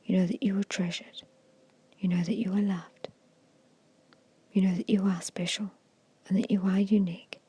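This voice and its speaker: A woman whispers a guided ASMR hypnosis meditation in a breathy, hushed voice, giving gentle, repetitive suggestions. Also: medium pitch.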